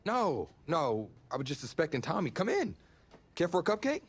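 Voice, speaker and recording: An actor playing an eager, wry young college quarterback in a video game drama, casual and expressive. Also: male voice, on the high side, normal speed, slightly rough, exaggerated voice. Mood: flustered, eager. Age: young adult.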